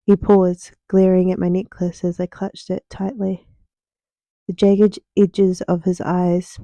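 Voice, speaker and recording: A young woman narrates a story in soft ASMR style, her tone very dark and mellow. Her pitch sits on the low side and moves little, with deliberate pauses between phrases. The sound is dry and close. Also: no room sound. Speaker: writer reading her own book.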